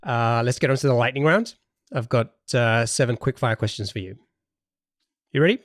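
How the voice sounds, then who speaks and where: A man's voice: medium pitch, expressive, normal speed, dry recording, clean studio sound. A man hosting a casual interview podcast, thinking aloud with hesitations and repeated words.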